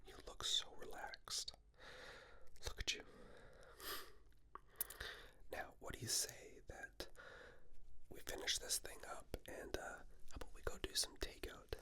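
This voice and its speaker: A man playing an affectionate, playfully cocky boyfriend in a breathy, intimate whispered ASMR roleplay. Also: pushed and bright. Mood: soothing, teasing. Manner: intimate, teasing, self-satisfied, slow.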